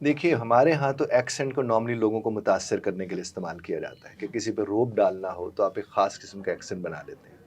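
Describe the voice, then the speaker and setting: A middle-aged man, a guest explaining communication and in a formal, courteous, measured way. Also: fairly low pitch, darkish tone, husky. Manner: formal and courteous, using polite honorifics. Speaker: guest, language and communication trainer.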